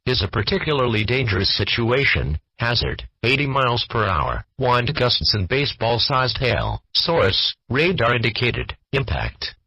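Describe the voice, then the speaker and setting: A man's voice: medium pitch, sharp tone, husky, no room sound, highs cut off. A synthetic male text-to-speech voice with an American accent, reading a scripted emergency weather bulletin in a formal, stilted, segmented way. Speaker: automated weather alert announcer.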